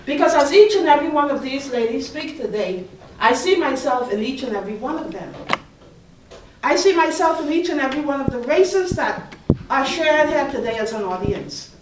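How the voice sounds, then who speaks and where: A middle-aged woman from the Bahamas with a Bahamian accent, leading a slow, soothing guided meditation on stage as a panel artist. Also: high voice, expressive, noisy background. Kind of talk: guided meditation address to an audience. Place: large hall, on stage. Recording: echoey hall from far off.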